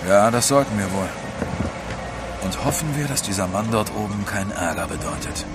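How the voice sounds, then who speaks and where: An actor playing a wary, gruff seafarer in a radio drama. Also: male voice, on the low side, lively intonation, moderate pace, over loud music, lightly acted.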